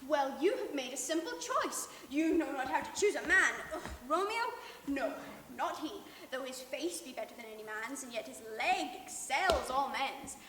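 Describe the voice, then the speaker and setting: A woman's voice: sits high, expressive, moderate pace, fairly bright tone. A woman playing a weary, teasing old nurse servant in Shakespearean stage style, rambling and full of groaning exclamations. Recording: echoey hall, distant mic, faint noise.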